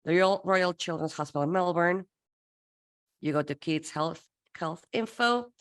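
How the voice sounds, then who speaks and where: Woman from Peru, low voice, lively workshop presenter who acts out exchanges. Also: unhurried. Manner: lively, playful teaching with acted-out dialogue.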